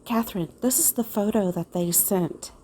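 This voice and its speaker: A woman's voice: mid-range voice, warm tone, natural voice. A woman acting as an earnest investigator in an old time radio style mystery, speaking emphatically about evidence. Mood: doubtful. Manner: slow, emphatic. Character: earnest female investigator questioning suspect evidence.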